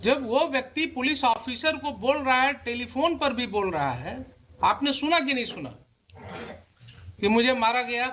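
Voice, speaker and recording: Stern, high-pitched Indian man, a judge. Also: expressive, moderate pace, bright, forceful tone. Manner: stern, admonishing, rhetorical questions; switches between Hindi and English. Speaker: judge presiding over a court hearing. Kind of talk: courtroom questioning and oral directions. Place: courtroom. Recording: muffled courtroom audio, faint noise, clicks.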